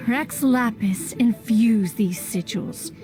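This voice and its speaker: Haughty immortal hermit, slightly high female voice, very measured pace, stately, stern, imperious, video game acting. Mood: bitter, stern. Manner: formal, imperious, archaic phrasing, refers to self as "one". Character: ancient, haughty, reclusive female immortal adeptus, proud and stern. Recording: studio voice over game music.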